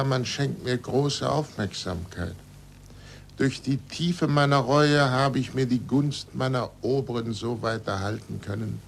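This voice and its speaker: An actor playing an aged, bitter, resigned scientist in a 1940s radio drama, speaking formally and deliberately. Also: male voice, fairly low pitch, creaky. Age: older. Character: aged recanted scientist under church supervision, bitter and resigned. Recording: noisy 1940s radio recording.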